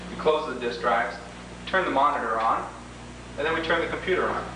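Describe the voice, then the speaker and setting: A man narrating an instructional software demonstration, explaining each step in order. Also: mid-range voice, brisk. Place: reverberant indoor room, away from mic. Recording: very echoey, distant, noisy 1980s tape.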